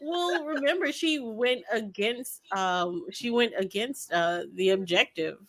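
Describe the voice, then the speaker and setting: A woman's voice: a little high, a little slow, very noisy. A woman in a casual chat as a guest reviewer, joking in a mock-serious way and often repeating words.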